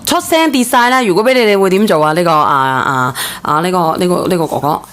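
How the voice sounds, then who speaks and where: A woman's voice: fairly low pitch, expressive, a little fast, a bit bright. A woman with a Hong Kong accent hosting a call-in chat, blunt and pressing in her questions, with coarse slang. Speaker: call-in show host.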